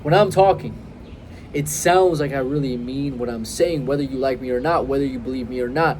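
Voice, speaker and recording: A young man in his twenties giving confident, emphatic self-improvement advice straight to his phone outdoors, varying his pace and pauses. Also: medium pitch, a little mellow, a little hiss or hum. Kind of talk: direct-to-camera advice vlog. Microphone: phone held close.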